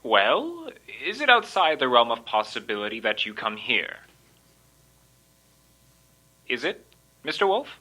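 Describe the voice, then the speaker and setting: An actor playing a stiff, self-important doctor in an old time radio mystery, speaking formally and emphatically. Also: male voice, medium pitch, sing-song, moderate pace, bright, forceful tone, low-quality recording. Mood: urgent, certain.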